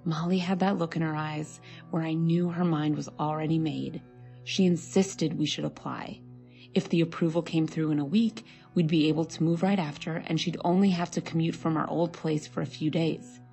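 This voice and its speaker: A woman narrates a scary story in the first person with a low, creaky voice. Her telling is measured and conversational, mellow in tone, with faint music underneath. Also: steady tone.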